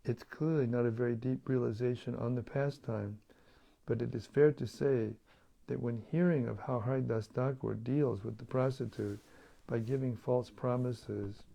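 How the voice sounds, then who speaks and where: A hoarse man hosts a daily scripture reading over an online stream, relaxed and chatty. His voice is dark and gravelly with fry, and it is plainly wearing out. He speaks at a middling pitch. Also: moderate pace. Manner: relaxed, chatty, with light chuckles. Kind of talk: casual talk and Q&A on scripture.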